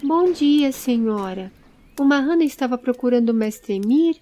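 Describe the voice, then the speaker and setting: Mulher fazendo pequenino medroso de voz bem aguda. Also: female voice, high-pitched, animated, moderate pace, dark tone. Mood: curioso. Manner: exclamatory, anxious, eager. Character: small excitable, fearful young male forest creature.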